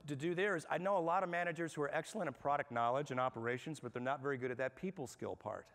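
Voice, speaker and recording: Energetic man lecturing in a reverberant hall. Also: a little high. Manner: energetic and conversational, asks the audience questions. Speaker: speaker at a management workshop. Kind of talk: live seminar talk to an audience. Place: large hall with an audience.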